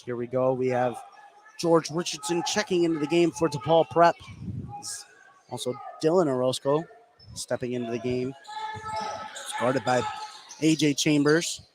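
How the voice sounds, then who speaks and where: A man's voice: a little high, normal speed, long pauses. A man giving live play-by-play basketball commentary on the radio, narrating the action in running, conversational fragments. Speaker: play-by-play announcer. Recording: clear ambient noise behind commentary.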